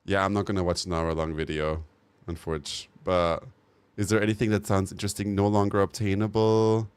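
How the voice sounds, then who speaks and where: A man's voice: sits low, flat delivery, brisk, clean tone, no room sound. A man streaming live, reacting bluntly to game news and giving opinionated takes. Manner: swears casually. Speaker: livestreamer. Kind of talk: casual live-stream commentary.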